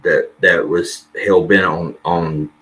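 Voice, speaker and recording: A host with a very low voice but a sharp, bright edge, chatting casually with a guest on a call. He mostly throws in short nods of agreement. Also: fairly even intonation, slow pace, poor audio. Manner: brief agreeing interjections. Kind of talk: casual interview chat.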